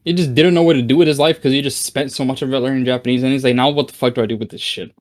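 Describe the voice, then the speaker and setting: Man, casual voice-chat regular, mid pitch, loose and filler-heavy delivery. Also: steady tone, rapid-fire. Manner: casual, heavy use of "like", laughs easily. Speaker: discord chat participant. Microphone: home computer mic on voice call.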